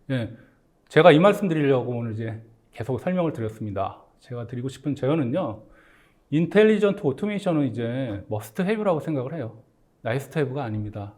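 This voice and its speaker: A man with a medium-pitched voice presents to a business webinar. He explains things politely and conversationally, as a company executive would, and drops English technical terms into his sentences. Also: a little mellow. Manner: polite, conversational delivery with English tech jargon.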